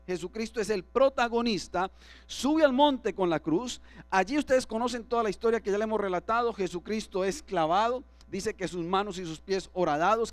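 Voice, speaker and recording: A man's voice: sits high, no echo. A man preaching as pastor to his congregation, often asking them for agreement. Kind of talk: sermon. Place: church.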